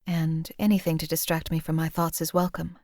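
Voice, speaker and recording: A woman voicing a cold, detached female assassin in a game, speaking in a measured, formal, matter-of-fact way. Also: sits low, flat delivery, a little mellow, no echo, studio quality. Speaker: game companion character. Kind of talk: voiced game companion lines.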